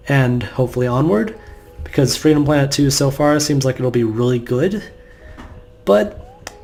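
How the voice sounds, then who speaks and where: A man's voice: a little high, vocal fry, darkish tone. Offhand male gamer commentary with faint music. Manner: rambling, offhand, casual swearing. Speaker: gamer narrating a playthrough. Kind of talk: casual let's play commentary.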